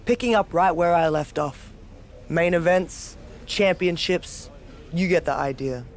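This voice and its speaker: A woman's voice: lowish voice, measured pace, close to an everyday voice. A woman playing a cocky, dominant, sardonic wrestler who relishes chaos in a video game cutscene. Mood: defiant, assured. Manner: sardonic, self-assured. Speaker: pro wrestler character.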